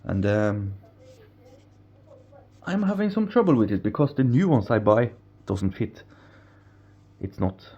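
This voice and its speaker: Man, from Norway, non-native English, rambling casual vlog, mellow tone, slightly muffled home recording. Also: on the low side, expressive, unhurried, long pauses. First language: Norwegian. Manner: rambling, stumbles over words, chuckles at himself. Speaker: aquarium hobbyist vlogger. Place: apartment, indoors. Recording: slightly dull home recording with faint noise.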